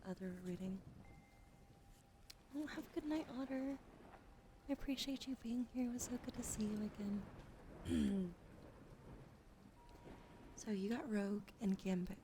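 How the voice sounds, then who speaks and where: Softly whispering woman streamer. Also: mid-range voice, normal speed, pauses often, background noise. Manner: soft, often whispering, greets chat. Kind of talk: ASMR tarot reading on a live stream.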